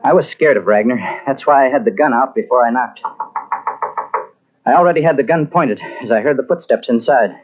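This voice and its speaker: A man's voice: medium pitch, a little fast, breathy edge, natural voice. An actor playing a guilt-ridden, weary and bitter man confessing a murder in a 1940s radio mystery. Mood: tense, hurried. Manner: brooding, dramatic. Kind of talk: 1940s radio mystery acting, first-person narration. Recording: muffled old radio recording with music behind.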